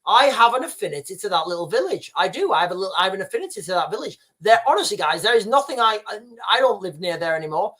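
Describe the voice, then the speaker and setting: A man's voice: high-pitched, big pitch swings, fast, hardly any pauses, a bit bright. Rambling man livestreaming. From Yorkshire, England. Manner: rambling, repeats and restarts phrases, often addresses viewers as "guys". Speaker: livestream host reading viewer comments. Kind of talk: casual livestream commentary.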